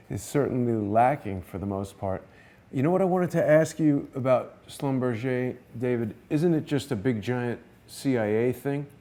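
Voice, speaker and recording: A man's voice: mid-range voice, unhurried, mellow. A man interviewing in a casual chat, listening attentively and asking questions. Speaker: interviewer, host.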